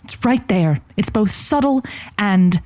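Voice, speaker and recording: A woman playing a game but halting advice-show host in improvised radio comedy, repeating herself and using showily learned words. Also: medium pitch, lively intonation, dark tone, dry recording, close to an everyday voice. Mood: game, amused.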